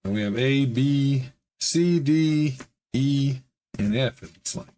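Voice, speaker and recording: Man, slightly hoarse, mid-pitched, casual build vlog host, rambling with fillers. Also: slow pace, dark tone. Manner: easygoing, rambling, frequent "uh" fillers. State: slightly hoarse, recovering from a chest illness. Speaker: hobby model-building channel host.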